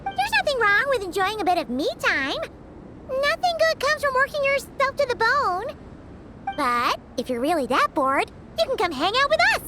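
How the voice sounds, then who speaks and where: A woman voicing a tiny, cheeky floating fairy companion in a video game, childlike and excitable, talking about herself in the third person. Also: normal speed, heavy background noise. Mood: cheerful, teasing. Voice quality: squeaky, childlike. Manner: talks about self in third person, exclamatory.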